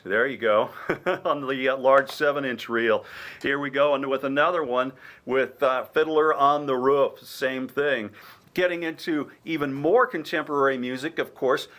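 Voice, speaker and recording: A man's voice: mid-range voice, a bit bright. A man with a mid-range voice presents items in an informal show-and-tell. He speaks as a host would, explaining things step by step in a conversational way. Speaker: radio station host.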